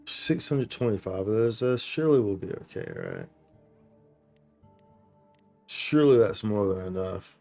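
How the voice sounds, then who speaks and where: Guy streamer chatting thru gameplay, mellow voice, slangy n repeats himself, faint music. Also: fairly low pitch, big pitch swings, a little slow, vocal fry, long pauses. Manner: slangy, repetitive, thinking aloud. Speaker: game livestreamer. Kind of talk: casual live gameplay commentary. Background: faint game music.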